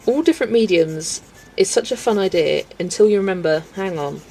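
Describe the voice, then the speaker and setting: A young woman hosting a colouring livestream, chatting casually with viewers, relaxed and laughing often as she works. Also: on the low side, a little fast, a little hiss or hum, low-quality recording. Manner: relaxed and chatty, laughs often, talks to herself while working. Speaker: livestream host. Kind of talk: casual chat with a live chat while colouring.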